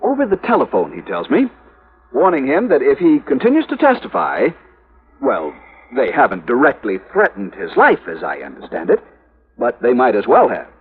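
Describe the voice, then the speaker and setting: An actor playing a fussy, anxious insurance executive in a 1950s radio drama, pedantic and easily flustered. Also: male voice, mid-range voice, wildly swooping pitch, normal speed. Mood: worried. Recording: muffled vintage 1950s radio recording.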